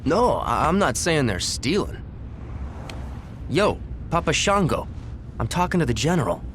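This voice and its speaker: A man's voice: on the high side, sing-song, measured pace, a bit bright, slight background noise. An actor playing a nervous, defensive young male DJ in a video game, flustered and stammering. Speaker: DJ gang member.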